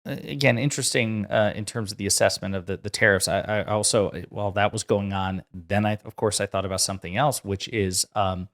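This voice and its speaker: A man with an American accent and a fairly low voice hosts a political commentary show. He speaks casually and argues his points with emphasis. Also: fairly even intonation, a little fast, few pauses, a bit bright. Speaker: host commentator.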